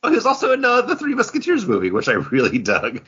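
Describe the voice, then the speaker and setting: A man's voice: high-pitched, wildly swooping pitch, fast, bright, forceful tone, slightly rough. A man co-hosting a podcast in casual, bantering conversation. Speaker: podcast co-host. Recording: dull lo-fi recording.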